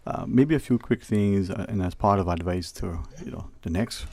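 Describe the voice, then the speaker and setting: Male radio host, low voice, gravelly fry, rambling casual chat, restarts phrases. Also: normal speed, dark tone. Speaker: radio talk show host. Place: radio studio.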